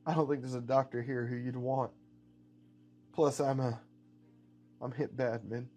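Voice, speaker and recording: A man's voice: medium pitch, steady tone, a little slow, mellow, close to an everyday voice. A man voicing an earnest cowboy hero in a tabletop story, folksy and emotional over music. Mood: weak, tender. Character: earnest, defiant male cowboy hero, tender and wounded.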